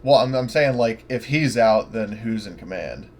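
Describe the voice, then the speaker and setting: A man's voice: lowish voice, lively intonation, moderate pace, darkish tone. A man chatting casually as a player in a tabletop roleplaying game, tentatively thinking aloud. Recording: clear background noise.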